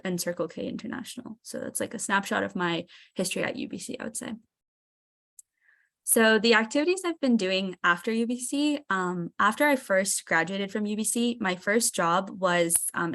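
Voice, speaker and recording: A woman's voice: medium pitch, a bit bright. Chatty young woman presenting. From Seattle, Washington, US. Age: 20s. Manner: chatty, frequent ums and restarts. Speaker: alumni guest speaker. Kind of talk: casual presentation.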